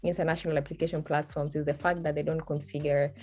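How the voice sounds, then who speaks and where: A woman's voice: low-pitched, flat delivery, fast talker, darkish tone. A young woman from Kenya whose first languages are Swahili and Luo, chatting casually as she walks through a form on screen, thinking aloud and laughing at herself. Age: young adult. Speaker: video tutorial host. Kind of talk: screen-recorded walkthrough.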